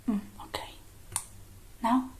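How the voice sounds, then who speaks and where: A woman speaking in a breathy, mostly unvoiced whisper, gentle and hushed with many pauses, as in a close-miked ASMR roleplay. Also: a little hiss or hum. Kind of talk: whispered ASMR roleplay, one-sided personal attention.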